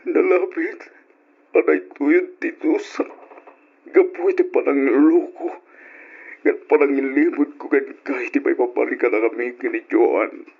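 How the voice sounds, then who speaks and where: An ailing, remorseful man in an old radio drama, begging forgiveness. His voice is gravelly and husky, slow and halting, on a dull lo-fi recording. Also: medium pitch, fairly even intonation. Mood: anguished pleading. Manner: halting, pleading. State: weak and sickly.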